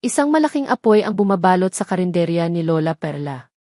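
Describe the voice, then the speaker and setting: A woman's voice: on the low side, sing-song, brisk, warm tone, no echo, studio quality. A woman reading a scripted story as a narrator, in a dramatic style.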